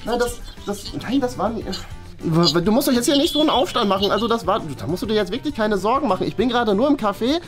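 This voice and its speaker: A man's voice: high voice, lively intonation, fast talker. A man playing a flustered family father and cafe boss in an improvised comedy sketch, hesitant, stammering and rambling. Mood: defensive, panicky. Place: small bright office room. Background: background music.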